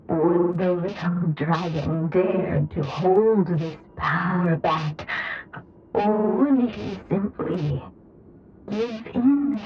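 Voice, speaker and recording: An ominous game storybook narrator, dragging out each phrase extremely slowly. The delivery is grave and insistent, with a dull, muffled sound and music behind. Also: female voice, on the low side.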